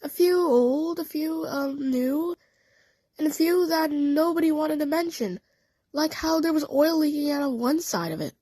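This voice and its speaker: Woman playing a female cartoon cat for a fan audio play: very high voice, confiding, uneasy, halting. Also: animated, mellow, dry recording.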